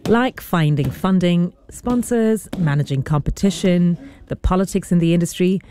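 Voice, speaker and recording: A reporter delivering scripted documentary narration in a measured, informative way. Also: mid-range voice, mellow, slight background noise.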